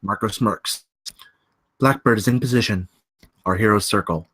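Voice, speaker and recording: A man's voice: lowish voice, normal speed, fairly bright tone, husky. A man reading scene directions as the narrator of a live screenplay table read.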